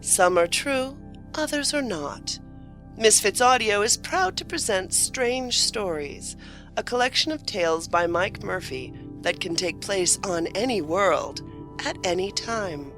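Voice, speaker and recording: A woman playing the solemn, wry narrator of an anthology of strange tales, with a deliberate, theatrical delivery. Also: mid-range voice, expressive, fairly bright tone. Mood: solemn, intriguing. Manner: deliberate, dramatic. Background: music.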